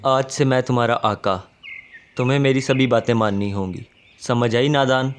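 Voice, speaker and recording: A man's voice: mid-range voice, steady tone, normal speed, close to an everyday voice. Man voicing a theatrical male cartoon bird. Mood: playful, bossy. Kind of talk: Hindi children's cartoon voice acting.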